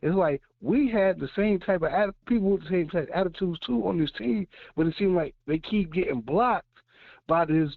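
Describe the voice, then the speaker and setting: A man's voice: high voice, animated, normal speed, vocal fry, dry recording. A man calling into a sports show with a blunt, fired-up, profane rant. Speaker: caller. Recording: tinny phone line.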